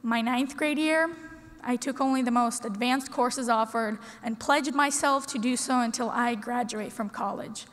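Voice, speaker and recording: A sixteen-year-old girl from Russia giving an earnest, prepared speech at a podium in a large conference hall, with deliberate dramatic pauses. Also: fairly high pitch, a bit bright. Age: teens. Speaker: student speaker. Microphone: podium microphone. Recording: long hall reverb.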